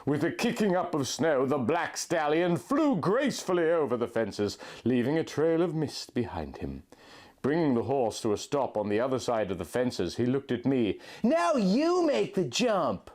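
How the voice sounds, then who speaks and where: A man reading fan fiction aloud in a deadpan, overly earnest storybook manner. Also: medium pitch, moderate pace. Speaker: comic reader of fan fiction. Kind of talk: mock-dramatic reading aloud.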